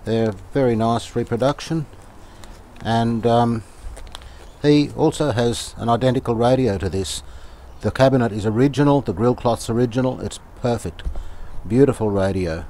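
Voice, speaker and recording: A man from Australia narrating hands-on repair work casually, unhurried and halting as he thinks aloud. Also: medium pitch, mellow. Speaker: radio restorer presenting his own repair videos.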